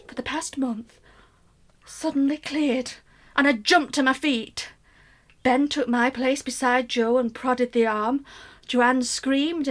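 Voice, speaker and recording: An actress playing an anxious middle-aged mother, telling her story in an expressive, wry first-person radio play monologue. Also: fairly high pitch, lightly acted. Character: anxious middle-aged mother recounting a troubled family holiday.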